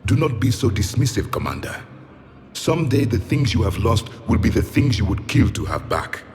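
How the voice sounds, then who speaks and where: An actor voicing a proud, stern ancient alien warrior in a solemn, imperious manner for a video game. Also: male voice, fairly low pitch, very animated, normal speed, a little mellow, a little hiss or hum. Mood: stern, haughty. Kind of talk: dramatic video game acting.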